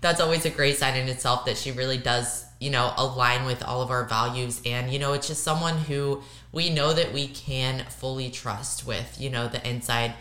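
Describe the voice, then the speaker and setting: A young woman co-hosting a casual podcast, hoarse and raspy from losing her voice, emphatic and repeating words for stress. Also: a bass voice, normal speed, fairly bright tone, reverberant. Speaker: podcast co-host.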